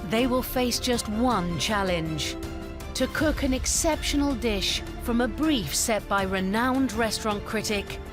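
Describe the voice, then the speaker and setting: A woman narrating a cooking competition as a scripted voice-over, measured and announcing. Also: on the high side, expressive. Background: background music.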